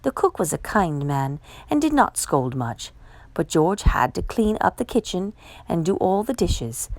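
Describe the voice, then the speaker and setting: A woman reading a children's picture book aloud as a storyteller, with expressive, measured delivery. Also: fairly low pitch, no echo. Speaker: storytime reader.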